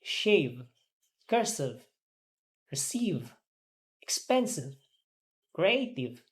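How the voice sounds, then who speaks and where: A woman learning English, reading drilled words and sentences aloud in a careful, halting way. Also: low voice, very animated.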